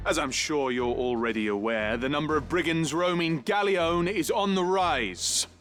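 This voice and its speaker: An actor playing a stern knight officer who gives a formal, commanding military briefing in a fantasy game. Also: male voice, a little high, moderate pace, a bit bright, soft background music, close to an everyday voice.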